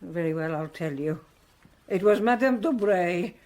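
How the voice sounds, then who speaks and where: An actress playing a blunt, gossipy long-serving servant in a radio drama, defensive and tart in manner. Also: female voice, on the low side, unhurried, a little mellow, natural voice. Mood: grudging, gossipy.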